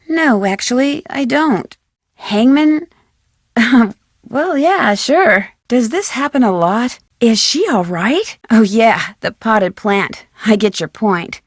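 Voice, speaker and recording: A young female detective for a video game, her voice high and perky. She is polite, curious and eager, asking questions earnestly. The recording is dry and close. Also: no room sound. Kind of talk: video game dialogue, short separate lines.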